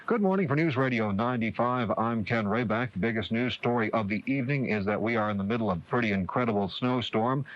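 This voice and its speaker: A man's voice: mid-range voice, fast, few pauses. A man with an American accent anchoring a local news and weather bulletin on 1980s radio. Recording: dull lo-fi 1980s radio broadcast tape.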